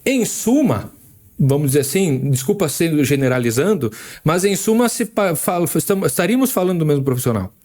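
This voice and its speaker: A man's voice: a little high, very animated, brisk, darkish tone. A man co-hosting a casual podcast who explains things with examples and often restarts his sentences. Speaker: podcast co-host.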